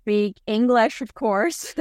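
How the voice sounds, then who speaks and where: A woman's voice: a little high, slow pace, darkish tone, no echo, studio quality. A woman whose first language is Cantonese, giving casual, chatty interview answers with frequent "like" and "you know". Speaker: interviewee, mortgage broker.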